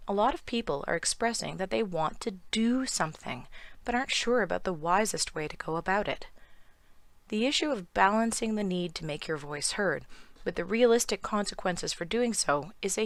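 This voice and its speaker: Hoarse, sardonic woman commentator. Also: mid-range voice, no echo. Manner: sardonic, irreverent, animated. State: hoarse from lost voice. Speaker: YouTube commentator. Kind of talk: scripted commentary.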